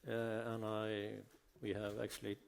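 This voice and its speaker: A man moderating a panel, brisk and directing as he keeps things to time and presses the speakers. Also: on the low side, completely flat delivery, vocal fry, clean tone.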